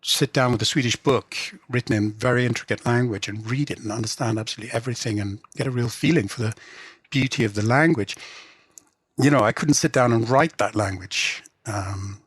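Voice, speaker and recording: Halting middle-aged man, dry close sound. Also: mid-range voice, slightly rough, no room sound. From England. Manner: halting, many restarts and "you know" fillers. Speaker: guest translator and novelist. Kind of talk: casual interview chat.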